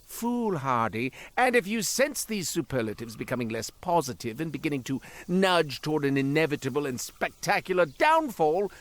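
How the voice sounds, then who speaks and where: Flamboyant, animated curio merchant. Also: male voice, a little high, dry recording. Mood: grand, wry. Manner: theatrical, flamboyant, wry and digressive. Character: chatty, self-important male curio merchant, wry and cowardly. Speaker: video game character voice actor. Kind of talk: theatrical character monologue, storytelling.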